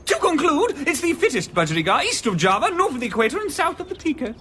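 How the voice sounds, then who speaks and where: An indignant customer from a radio comedy sketch. The voice is sharp and extremely animated, hammering each point with argumentative emphasis. There is faint noise behind it. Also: male voice, very high voice, fast, exaggerated voice. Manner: emphatic, argumentative, piling up synonyms. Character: indignant, overwrought male customer in a pet-shop sketch parody. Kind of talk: British radio comedy acting.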